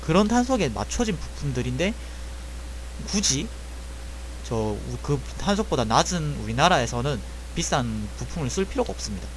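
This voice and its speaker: Guy going through airsoft parts step by step, bouncy pitch, fixes himself a lot, faint hum behind. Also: low-quality recording. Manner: casual, self-correcting, explains step by step. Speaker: airsoft repair tutor. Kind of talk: screen-recorded parts-shopping walkthrough.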